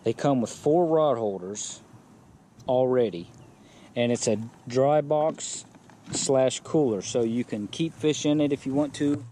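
A man's voice: mid-range voice, a little slow, slight background noise. A man casually walking through his fishing kayak setup outdoors in a yard, talking plainly with frequent fillers, recorded on an action camera. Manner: relaxed, plain-spoken, many fillers. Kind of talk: casual gear walkthrough.

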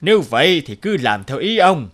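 A man voicing a stern, fair-minded mandarin judge in an animated folk tale, with an authoritative, measured manner. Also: on the high side. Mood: indignant.

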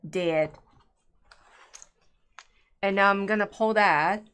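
Middle aged lady playing a board game on stream, talking thru her turn, normal pitch, casual. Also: takes it slowly, fairly bright tone, smooth. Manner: thinking aloud through her moves. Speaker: board game show co-host and player. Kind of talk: casual live board game playthrough chat. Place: indoor room at a game table.

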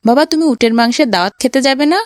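A woman plays a polite young boy in a cartoon. The voice is high, eager and full of curiosity, as a well-behaved devout son. It is recorded dry. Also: expressive, rapid-fire, no echo. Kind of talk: cartoon voice acting in moral story.

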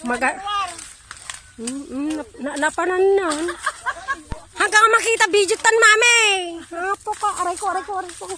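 A woman's voice: very high voice, normal speed, pauses often, noisy background. A woman chatting playfully outdoors in a cornfield, caught by someone else's phone camera. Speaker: companion at the harvest. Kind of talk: casual chat. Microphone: another person's phone camera.

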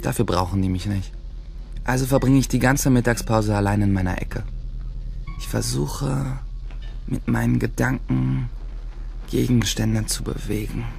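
A man's voice: medium pitch, steady tone, measured pace, gravelly, long pauses, darkish tone, slight background noise. Wry, boastful schoolboy gang leader man actor, radio play narrator, middle register, short punchy phrases. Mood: wry, bored. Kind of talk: first-person audio drama monologue.

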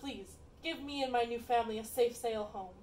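Commanding puppet-show lord, young female performer, high and declamatory, amateur, recorded roomy and off-mic. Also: fairly quick, darkish tone, a little hiss or hum, natural voice. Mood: solemn. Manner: theatrical, declamatory. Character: commanding, forgiving sorcerer and grieving king, sock puppets. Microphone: phone camera at a distance. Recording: roomy, distant phone camera sound.

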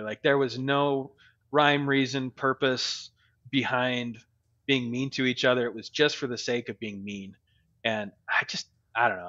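A man in his forties, a podcast guest, talking slowly and reflectively with many pauses and ums over a video call. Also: medium pitch, fairly bright tone, lo-fi sound. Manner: slow and reflective, many ums, laughs easily. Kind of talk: casual interview talk. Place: home room. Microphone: studio mic on video call with headphones.

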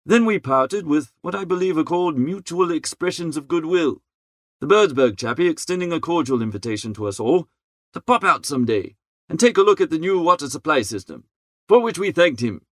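A man reading a comic story in the first person, chatty and wry, in the manner of an idle gentleman. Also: a little high, expressive, brisk, dry recording, clean studio sound. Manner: chatty, wry upper-class idler's phrasing. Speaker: audiobook narrator.

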